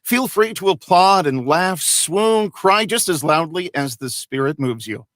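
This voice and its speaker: Man playing a formal old-time radio host, fairly high. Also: lively intonation, unhurried, a bit bright, no room sound, clean studio sound. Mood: genial, formal. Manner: formal, theatrical announcer delivery. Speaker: host and narrator.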